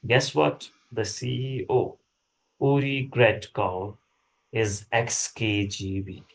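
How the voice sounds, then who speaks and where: Actor as a slow, businesslike intelligence analyst. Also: male voice, fairly low pitch, fairly even intonation, gravelly, a little hiss or hum. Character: businesslike male intelligence analyst giving a briefing. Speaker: actor at a script table read. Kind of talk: dramatic script reading.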